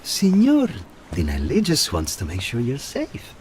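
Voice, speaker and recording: An actor playing an oily Italian underworld go-between in a video game, insinuating and falsely friendly, with an Italian accent. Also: male voice, mid-range voice, wildly swooping pitch, unhurried, a little mellow, slight background noise. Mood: ingratiating. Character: sly, ingratiating Italian mafia go-between. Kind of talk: video game cutscene acting.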